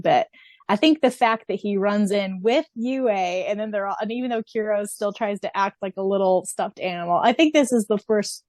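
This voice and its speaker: A young adult woman co-hosting a podcast in an animated, exclamatory, casual style. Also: mid-range voice, dry recording. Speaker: podcast co-host. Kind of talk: casual chat.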